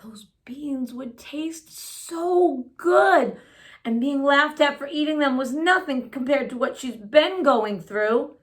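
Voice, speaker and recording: A woman's voice: sits high, lively intonation, slow pace. A woman reading a picture book aloud expressively as a children's story-time host. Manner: asks listeners questions as she reads.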